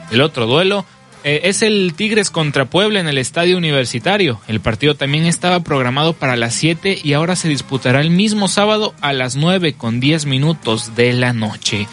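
A man anchors a sports news bulletin in a clear, measured newsreader voice that sits a little high for a man. His delivery is brisk and scripted, paced around regular time checks. Faint music plays underneath. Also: a bit bright.

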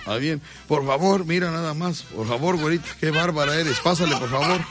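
A man's voice: a little high, a little fast. A man with a Mexican accent hosting a live radio show, joking and teasing his guests. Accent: Mexican Spanish. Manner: teasing and jokey, often laughing mid-sentence. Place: radio studio.

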